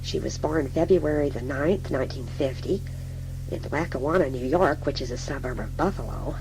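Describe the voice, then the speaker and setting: Man playing a creaky, flirtatious radio colleague. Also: medium pitch, moderate pace, close to an everyday voice. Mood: halting, admiring. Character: casual, flirtatious male radio station colleague. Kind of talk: improvised character introduction. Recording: noisy, humming radio-like recording.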